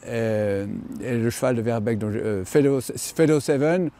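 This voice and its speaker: An older man who owns and breeds horses, answering interview questions with many pauses and restarts. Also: medium pitch, warm tone. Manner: hesitant, many fillers and restarts. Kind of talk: interview reply.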